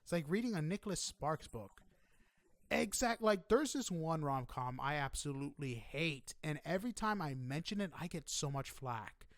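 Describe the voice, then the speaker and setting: A man with a somewhat high-pitched voice hosts a casual interview. He talks in an informal, chatty way with effusive reactions. The sound is dry, with hardly any room in it. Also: animated, moderate pace, a bit bright, no room sound. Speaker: podcast interviewer.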